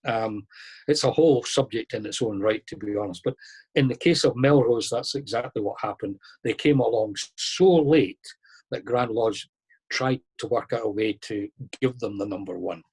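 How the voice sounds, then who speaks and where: A man answering questions in an online lecture, relaxed and conversational, thinking aloud as he explains. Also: lowish voice, moderate pace, husky, rough recording. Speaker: lecturer on masonic history. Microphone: video call.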